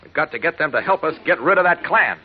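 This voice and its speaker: A man acts out a righteous, resolute reporter in a 1940s radio serial. He speaks briskly and firmly in a slightly high voice. The recording is dull and old. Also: expressive, close to an everyday voice. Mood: righteous urgency. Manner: firm, moralizing, urgent. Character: resolute righteous adult male newspaper reporter.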